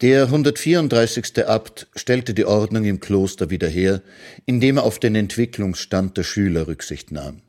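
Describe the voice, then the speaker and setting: Man, author reading his own prose, measured, calm, somewhat low voice. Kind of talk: literary reading on radio.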